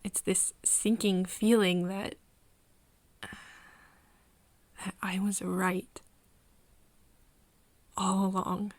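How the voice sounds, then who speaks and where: A woman in an ASMR roleplay playing a nervous, caring friend, speaking softly and haltingly and often slipping into breathy whispers. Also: medium pitch, flat delivery, no echo. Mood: anxious, confessional. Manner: hesitant, halting, with pauses and sighs. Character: nervous, caring young woman confiding in her vampire friend. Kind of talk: ASMR roleplay, intimate scripted acting. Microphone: close microphone, dry room.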